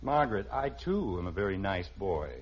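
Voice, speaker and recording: A man's voice: low-pitched, animated, moderate pace. An American actor playing an exasperated, sarcastic suburban father and husband in a 1950s radio sitcom, dry and incredulous. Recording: dull, slightly noisy old radio recording.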